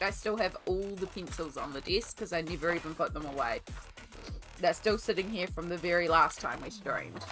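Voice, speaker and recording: An Australian woman with a cold, her voice lowered and coughing, chatting casually and rambling with many ums while she colours on a stream. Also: fairly bright tone. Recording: faint music behind.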